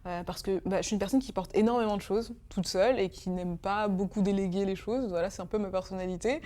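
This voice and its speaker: A woman's voice: mid-range voice, steady tone, quick pace, dark tone. A young woman in her twenties from Congo, speaking as a guest in an emotional interview, hesitant and full of fillers. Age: 20s. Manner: hesitant, many fillers and restarts.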